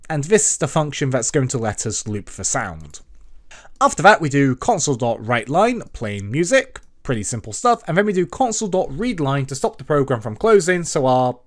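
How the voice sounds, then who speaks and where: A man narrates a screen-recorded tutorial in a somewhat high voice. His pitch moves up and down a great deal as he explains each step in an instructional way. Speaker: tutorial presenter.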